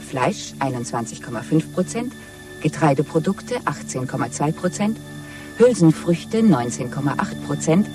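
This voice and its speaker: Man acting propaganda announcer in a radio play, highish voice, far from the mic with music, clipped and official. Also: lightly acted. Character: official male party announcer reading triumphant production figures.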